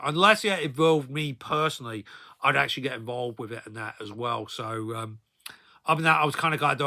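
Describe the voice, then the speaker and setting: A man's voice: mid-range voice, sharp tone. A man in his thirties telling personal stories in a casual, rambling vlog style, often tacking filler phrases onto the ends of his sentences. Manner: rambling, repeatedly tagging sentences with "though, really, as well". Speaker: video blogger.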